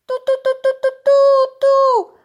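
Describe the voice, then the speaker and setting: Woman as a high-voiced little storybook kitten girl. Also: level tone, a little slow, muffled recording, soft background music. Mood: urgent calling. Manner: timid, childlike. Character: shy little female kitten, earnest and excitable.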